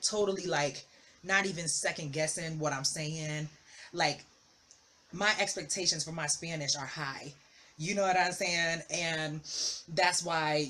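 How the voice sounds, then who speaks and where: Rambling young vlogger, filler-heavy chat. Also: medium pitch. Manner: rambling, heavy on "you know" and "like"; excitable. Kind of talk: casual rambling vlog update.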